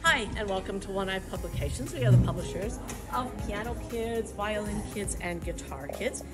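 A woman from a music publisher, giving a measured, informative product pitch at a convention booth. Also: lively intonation. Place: convention exhibit hall.

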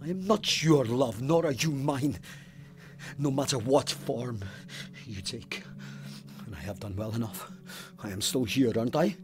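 An actor playing a pious, stubborn, haunted police sergeant in an audio drama, speaking in an intense, formal, demanding way. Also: male voice, medium pitch, a little slow, close to an everyday voice. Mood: defiant. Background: music.

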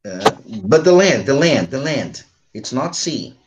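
A man's voice: medium pitch, sing-song, takes it slowly, noisy background. Brazilian man teaching English online. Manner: frequent checking tags, patient step-by-step explaining. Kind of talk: online lesson, explaining and switching between Portuguese and English. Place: small room at home or study with bookshelves. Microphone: computer mic on a video call.

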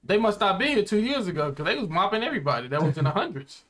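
A young American man giving casual, slangy reaction commentary and animated banter. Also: high-pitched, racing. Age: young adult. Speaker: reaction video host. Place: small indoor room.

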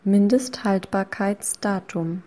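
Woman reading lesson phrases really slow, each one twice, calm. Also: medium pitch. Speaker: language lesson voice.

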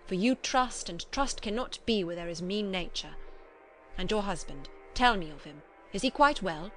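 A woman's voice: medium pitch, animated, moderate pace, lightly acted. Kindly, solemn old foreign doctor played by a woman, effusive and emphatic, courtly broken English, storybook style, music behind. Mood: earnest, emphatic.

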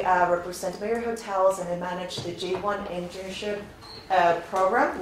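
Woman, 30s, upbeat recruiter chatting to students; captured from afar in a very roomy classroom. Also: on the low side. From born in Venezuela, raised in Spain. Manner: upbeat, chatty, asks the audience frequent questions. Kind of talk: informal presentation to a class. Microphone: far camera, no visible mic. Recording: very echoey classroom, faint noise.

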